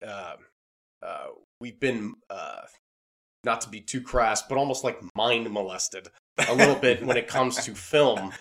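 A man co-hosting a casual chat, giving opinions haltingly and repeating words as he builds his point. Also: on the low side, expressive, creaky, fairly bright tone. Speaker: podcast co-host.

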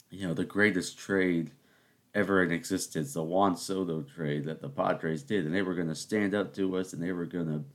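A man hosting his own podcast at home, talking in a rambling, sarcastic way and getting worked up. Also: deep voice. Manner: keeping voice down early on. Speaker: podcast host. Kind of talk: casual solo musing. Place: home, in the morning.

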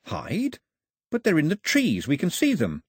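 A man's voice: fairly high pitch, huge pitch swings, unhurried, husky, dry recording, clean studio sound, voice pushed up, heavily acted. A man voicing a sceptical, inquisitive island boy in a storybook, puzzled and questioning. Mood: puzzled, probing. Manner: questioning, puzzled, literal-minded. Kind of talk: storybook character dialogue.

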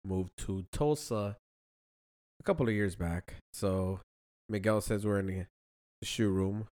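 A man's voice: lowish voice, a little slow, a little mellow. A man in his thirties from Chicago, co-hosting a casual podcast, joking and dropping bro and dude, sounding worn out from little sleep. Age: 30s. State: tired from short sleep. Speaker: podcast co-host. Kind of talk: casual chat.

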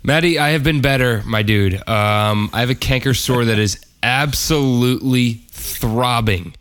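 A man's voice: medium pitch, a little slow, fairly bright tone, smooth. A man co-hosting a podcast, talking in a laid-back, jokey way and sometimes restarting his sentences. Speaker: producer and co-host. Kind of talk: casual podcast chat.

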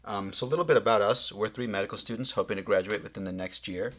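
A man's voice: fairly low pitch, quick pace, fairly bright tone. A young man in his twenties co-hosting a casual podcast, speaking in an easygoing way with frequent "um" and "uh". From United States. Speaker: podcast co-host.

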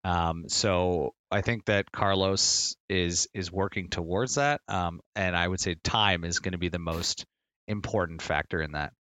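A man's voice: low voice, a little slow, a bit bright, no echo. A man co-hosting a casual sports podcast, talking earnestly and rambling as he repeats phrases to build a point. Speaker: podcast co-host and soccer fan.

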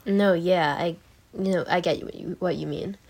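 A woman's voice: lowish voice, normal speed, vocal fry, darkish tone, breathy edge. A teenage girl being interviewed as a podcast guest, rambling with many fillers and telling stories animatedly. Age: teens. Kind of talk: casual chat.

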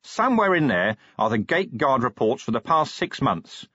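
A man voicing a gruff, weary police commander in a storybook, blunt, suspicious and demanding, with a growl. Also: mid-range voice, sing-song, normal speed, a bit bright, dry recording, dull audio, voice pushed up. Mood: brisk.

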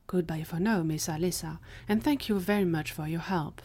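Woman as tiny gentleman scientist, audio drama: courteous, formal, earnest, easily flustered. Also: fairly low pitch, moderate pace, slightly rough. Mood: polite, flustered.

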